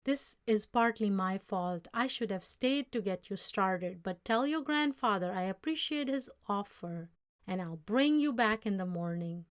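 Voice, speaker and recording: A woman voicing a storybook boss, a man who is emphatic, easily shocked and quick-tempered but fair. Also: mid-range voice, animated, lightly acted. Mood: calmer, fair. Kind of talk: storybook character dialogue.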